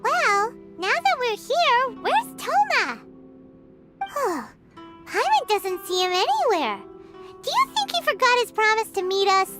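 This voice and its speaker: Super high squeaky little fairy sidekick, bouncy pitch, game music behind. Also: female voice, takes it slowly, exaggerated voice. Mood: curious. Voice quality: very high, bright childlike voice. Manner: talks of herself in third person, squeaky and excitable. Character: small childlike fairy companion, bubbly and indignant. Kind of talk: video game dialogue.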